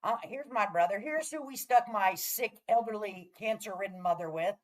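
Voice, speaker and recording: A woman's voice: mid-range voice, normal speed. Brash, chatty woman livestreamer. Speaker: livestream host. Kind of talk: casual livestream chat with viewers.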